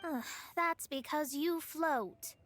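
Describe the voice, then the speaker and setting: Young female astrologist, high pitch, imperious, self-assured, formal speech, game dialogue. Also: slow, background noise. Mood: irritated, haughty. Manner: imperious, formal, wordy diction. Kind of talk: video game voice acting.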